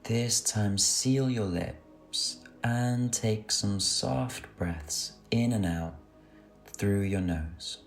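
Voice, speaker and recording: A man guides a meditation in a low voice with a creaky edge. He speaks very slowly and soothingly, giving gentle instructions. Soft music plays underneath his voice.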